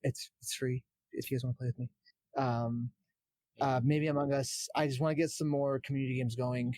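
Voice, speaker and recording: Male streamer guest, average pitch, informal delivery, no room sound. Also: level tone, fairly quick, a little mellow, dry recording. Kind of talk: casual chat.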